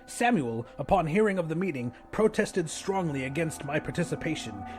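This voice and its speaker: A man narrating first-person fiction in a dramatic, expressive, scripted audiobook style. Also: on the high side, moderate pace, faint music behind.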